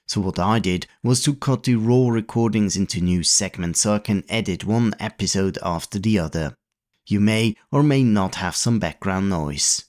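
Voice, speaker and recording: Low-ish guy narrating a retro tech tutorial, calm and step by step. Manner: calm, methodical explaining. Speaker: retro computing video host. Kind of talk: scripted tutorial voice-over.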